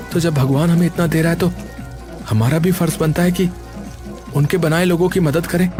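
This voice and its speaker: An actor playing an earnest, dutiful young village son in an animated story. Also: male voice, on the high side, lightly acted. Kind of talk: animated story character acting.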